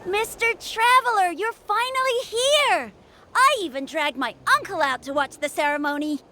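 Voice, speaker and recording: A woman voicing an excited, admiring small child in a video game, with a childlike sound. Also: very high-pitched, normal speed, noisy background. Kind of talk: video game character dialogue.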